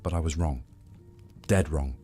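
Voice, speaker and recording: A man playing a low, grizzled old pirate. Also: measured pace, warm tone, soft background music, exaggerated voice. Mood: bitter, haunted. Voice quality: low, rough, raspy. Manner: grim yarn-spinning in sailor dialect. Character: grizzled old male pirate, haunted, grim, world-weary.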